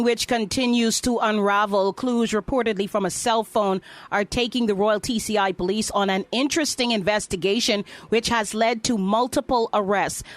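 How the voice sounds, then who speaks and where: A woman anchoring a scripted news bulletin, reading reports in a formal presenting style. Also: on the high side.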